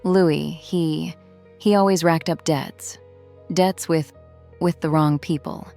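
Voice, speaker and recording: A woman playing a haunted, protective former street criminal in a drama, speaking in an earnest, halting, confessional way. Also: low-pitched, steady tone, vocal fry, warm tone, faint music behind, heavily acted. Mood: grave, halting. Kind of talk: dramatic audio drama acting.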